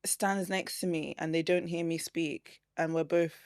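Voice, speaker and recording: Reflective British woman, lowish voice. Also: flat delivery, no echo, studio quality. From Britain. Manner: measured, reflective, agreeable with soft laughs. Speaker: podcast guest. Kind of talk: casual chat.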